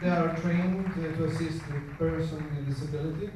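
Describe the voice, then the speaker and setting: A man from Croatia with a Croatian accent, speaking English as a second language and giving a conference presentation, halting often with fillers and restarts. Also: a little high, fairly even intonation, a crowd in the background, background noise. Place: conference hall. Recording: echoey hall, voice far from mic.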